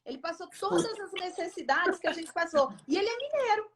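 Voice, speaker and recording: A woman's voice: extremely high pitch, lively intonation, fairly quick, a little hiss or hum. A woman with a Brazilian accent, chatting informally and using slang as a co-host. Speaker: live chat co-host. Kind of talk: casual chat. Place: remote social media live video call.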